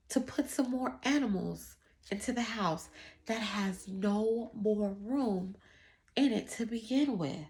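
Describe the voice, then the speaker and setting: A woman reading a children's story aloud, with expressive narration and deliberate explanatory asides to the listeners. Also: medium pitch. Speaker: children's read-aloud teacher.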